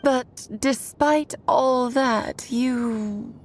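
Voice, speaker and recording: Playful, theatrical magical lady, fairly high. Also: extremely slow, soft background music. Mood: cautioning. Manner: theatrical, fond, teasing. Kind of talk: dramatic video game voice acting.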